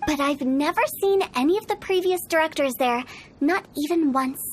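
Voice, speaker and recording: A woman's voice: sits high, normal speed, a little hiss or hum, lightly acted. A woman playing a playful, mischievous young funeral parlor director in a video game, teasing and theatrical. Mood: cheerful, sly. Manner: teasing, theatrical, cheerfully morbid. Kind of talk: video game character dialogue. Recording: game music behind the voice.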